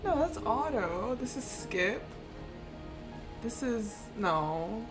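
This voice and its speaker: A woman's voice: on the high side, big pitch swings, drawn-out words, low-quality recording. A woman streamer giving live gaming commentary to her chat, exclamatory and giggly, often repeating herself as she hesitates. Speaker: game streamer. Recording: game music behind the voice.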